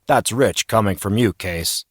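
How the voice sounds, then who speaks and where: A man's voice: lowish voice, unhurried, no room sound, studio quality. A man voicing a wry, mild, slightly distracted fourteen-year-old boy in an audiobook story.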